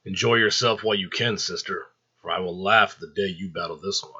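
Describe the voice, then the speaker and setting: A man's voice: low-pitched, brisk, bright, forceful tone, breathy edge, highs cut off, heavily acted. A man voicing a cruel, manipulative male Angel of Death with coaxing menace. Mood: irritated menace.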